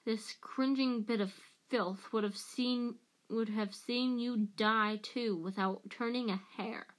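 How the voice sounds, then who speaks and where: Woman playing a slow, furious, vengeful man. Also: a little high, steady tone, rough recording. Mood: vengeful, snarling. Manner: slow, forceful, accusing delivery. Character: vengeful adult man, furious, bitter and accusing.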